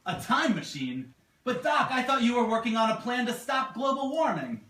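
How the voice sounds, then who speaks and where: Woman playing an animated teenage boy puppet. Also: on the high side, moderate pace. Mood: eager, puzzled. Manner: animated, exclamatory, repeats words. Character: earnest, exasperated teenage son, a puppet. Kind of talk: amateur comic puppet show acting. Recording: distant mic, echoey very noisy room.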